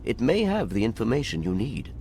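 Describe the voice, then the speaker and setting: An actor playing a courteous, scholarly scribe in a video game, formal, precise and guarded. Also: male voice, mid-range voice, huge pitch swings, a little fast, dark tone, a little hiss or hum. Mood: guarded, helpful. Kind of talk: dramatic video game acting.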